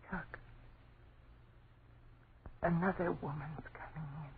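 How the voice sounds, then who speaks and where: A woman's voice: deep voice, steady tone, takes it slowly. An actress in an old radio horror drama playing a horrified, anxious mother with a breathless, intense delivery. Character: horrified young mother, hushed and anxious, protective. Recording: tinny old radio recording, heavy noise.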